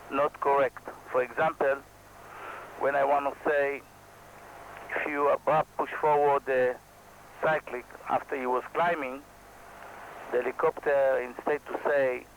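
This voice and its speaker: A man's voice: mid-range voice, highs cut off. Israeli guy, hebrew first language, choppy english with lots of restarts, mid voice, bit of hiss. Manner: halting non-native English, frequent restarts, earnest and self-deprecating. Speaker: helicopter pilot and instructor. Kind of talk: casual instructional talk to camera.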